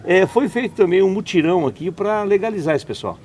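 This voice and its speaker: A man's voice: high-pitched, expressive, a little fast, a little mellow, slight background noise. A man hosting an interview, summing up answers and asking leading questions. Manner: leading questions, summarizing remarks. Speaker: interviewer, host. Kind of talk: conversational interview.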